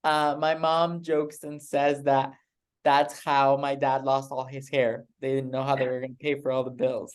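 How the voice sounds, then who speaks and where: A man's voice: a little high, smooth. A man from Mexico introducing himself on a panel, upbeat and repeating words as he goes.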